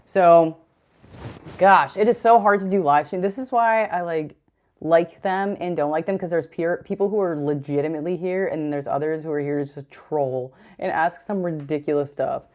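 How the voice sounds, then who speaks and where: A young adult woman with a deep voice hosting a casual fitness livestream, chatty and rambling as she answers chat comments. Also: normal speed, darkish tone.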